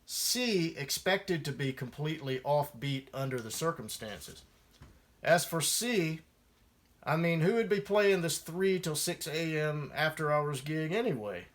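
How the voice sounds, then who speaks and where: A man's voice: on the high side, lively intonation, measured pace. A man reading his own first-person memoir aloud as a musician, in a wry storytelling manner.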